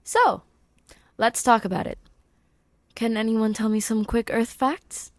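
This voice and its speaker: A woman voicing an eager, friendly human male science teacher, animated and encouraging, in a fan fiction audio reading. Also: on the high side, a little slow, dry recording, studio quality. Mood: eager, explaining. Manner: animated, encouraging classroom delivery.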